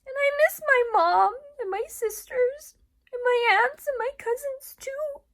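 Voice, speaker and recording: A woman's voice: very high-pitched, measured pace, fairly bright tone, pitched above the performer's own voice, exaggerated voice. A woman voicing a frightened, homesick baby elephant girl in a plaintive storybook read-aloud. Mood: tearful, homesick.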